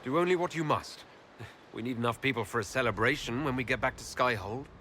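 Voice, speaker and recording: A man's voice: medium pitch, animated, fast talker, bright, forceful tone, slight background noise. A man acting as an assured, wry elven war leader in a video game, direct and commanding but informal.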